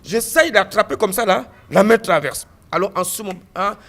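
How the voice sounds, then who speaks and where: A man recounts his own story for an interviewer with an animated delivery. His voice sits high and moves widely in pitch. He often stammers through restarts and repeats phrases for emphasis. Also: normal speed, gravelly. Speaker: guest giving a testimony.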